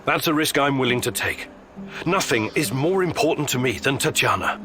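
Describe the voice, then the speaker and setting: An actor playing an earnest, determined male scientist in a video game, speaking in a serious, measured, explanatory manner. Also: mid-range voice, expressive, over music, natural voice. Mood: firm, weary. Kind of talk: video game character acting.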